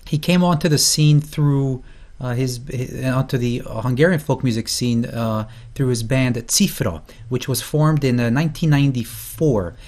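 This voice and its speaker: A man at a middle pitch hosts a folk music program, talking loosely about a guest. He stops and restarts often, filling gaps with ums and uhs. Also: a little mellow. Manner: halting, many fillers and restarts. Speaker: host. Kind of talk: casual spoken introduction.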